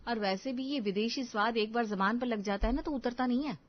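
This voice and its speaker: A stubborn cartoon housewife played by a woman narrator scolds and insists in a high voice. She is an argumentative young mother, heard on a slightly dull recording. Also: fairly quick, close to an everyday voice. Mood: defensive.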